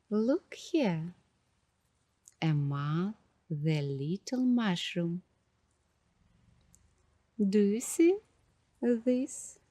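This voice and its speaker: Very slow, soft-spoken ASMR woman. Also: mid-range voice, no room sound. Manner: slow, dreamy, halting short phrases. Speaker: ASMR host. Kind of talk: ASMR guided coloring narration.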